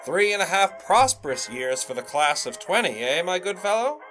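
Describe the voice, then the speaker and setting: A man's voice: sits high, big pitch swings, moderate pace, fairly bright tone, faint music behind. An actor playing a pompous young radio salesman and announcer in a 1920s audio drama, full of showy old-fashioned patter. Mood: boastful.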